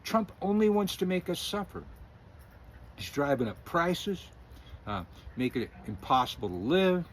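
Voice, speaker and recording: A man's voice: on the high side, sing-song, normal speed, slight background noise. A middle-aged man giving rambling, opinionated political commentary, full of fillers and chuckles. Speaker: independent news commentator.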